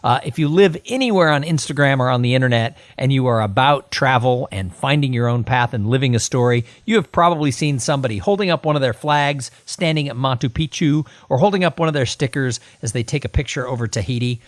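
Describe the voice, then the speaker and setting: A man's voice: mid-range voice, fairly quick, few pauses, no echo. Middle-aged male podcast host, enthusiastic and chatty, close dry mic. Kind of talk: podcast interview with sponsor read.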